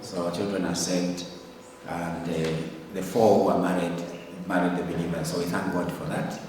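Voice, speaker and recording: This older man from Zambia speaks low, pausing often to search for his words as he gives a relaxed report. His voice rings through a large, echoey church hall, picked up from some distance, with faint ambience behind it. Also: a little hiss or hum. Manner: hesitant, many fillers and restarts, warm chuckles. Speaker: ministry leader giving a report. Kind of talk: church talk, informal report.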